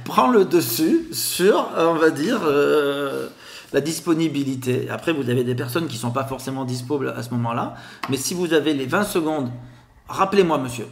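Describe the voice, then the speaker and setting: A man with a Grenoble accent, a guest explaining telemarketing in a podcast interview, with a lively sing-song way of talking. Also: a little high, moderate pace, echoey room. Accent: Grenoble French.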